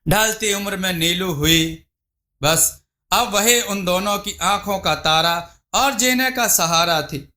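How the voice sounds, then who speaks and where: A man's voice: high-pitched, takes it slowly, sharp tone. A man reading a scripted folk tale as a storyteller. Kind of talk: scripted narration of a Hindi moral folk tale.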